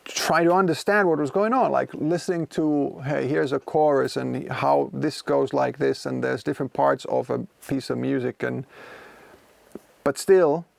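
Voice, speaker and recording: Gravelly Finnish man chatting on a stream. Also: mid-range voice, steady tone, a little slow, dry recording. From Finland. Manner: rambling, conversational, many fillers and restarts. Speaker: music producer streaming from his studio. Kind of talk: casual livestream chat, answering viewers. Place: home music studio.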